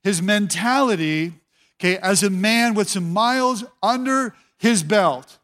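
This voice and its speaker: A man's voice: high-pitched, measured pace, fairly bright tone. A man preaching a sermon as a pastor, talking conversationally, repeating his words and often telling listeners to watch.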